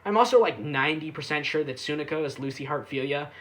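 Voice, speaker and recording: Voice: mid-range voice, animated, fast, a bit bright. A reviewer giving a casual, sarcastic recap with irreverent, sweary delivery. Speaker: YouTube anime reviewer.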